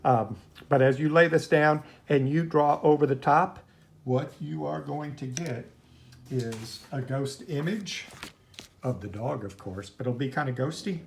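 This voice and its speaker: A man's voice: a little high, measured pace, creaky. A man teaching art in an informal, chatty, rambling hands-on demonstration, easily drifting into asides. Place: at home, indoors. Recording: noisy home recording, clicks.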